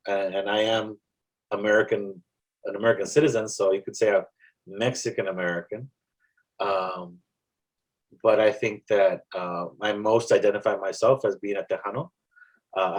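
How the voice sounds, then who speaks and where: A man with a South Texas accent talks about his poetry on a podcast. His voice sits low, and he pauses and restarts often as he thinks aloud. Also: poor audio. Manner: reflective, halting, with many restarts and fillers. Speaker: poet guest. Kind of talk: casual interview chat.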